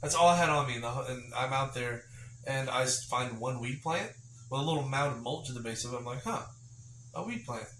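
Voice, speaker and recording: A man co-hosting a podcast, rambling through stories with laughs and sudden loud put-on voices. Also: medium pitch, expressive, a little fast. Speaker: podcast co-host. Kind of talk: casual storytelling chat. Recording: roomy, far from mic, noisy.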